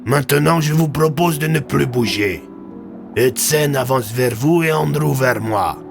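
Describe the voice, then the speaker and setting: A man voicing a theatrical villain gang boss with slow, mock-courteous taunts, in an audio drama. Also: mid-range voice, creaky, music in the background, voice pushed up. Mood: mocking, menacing.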